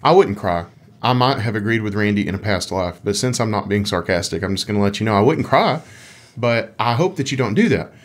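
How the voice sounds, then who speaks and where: A man's voice: lowish voice, lively intonation, brisk. A man co-hosting a casual gaming podcast with a dry, tongue-in-cheek way of talking. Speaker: podcast co-host.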